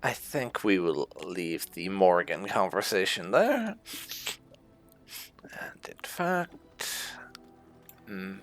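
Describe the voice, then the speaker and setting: A woman's voice: very deep voice, sing-song, very slow, long pauses, faint music behind. A woman doing roleplay game commentary, musing and hesitant, with thoughtful hums as she reads dialogue choices aloud. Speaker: gaming video host and player.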